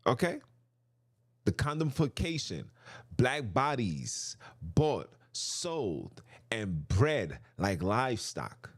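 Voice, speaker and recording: A man's voice: fairly low pitch, sing-song, takes it slowly, no echo. A man hosting a podcast, delivering an impassioned, preachy monologue straight to his listeners, often repeating himself for emphasis. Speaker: podcast host.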